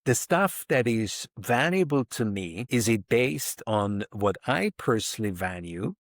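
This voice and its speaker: Animated man in his fifties. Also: on the low side, a little slow, dry recording, clean studio sound. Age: 50s. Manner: emphatic, acts out inner thoughts in quotes. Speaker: podcast guest, coach. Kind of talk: reflective interview talk.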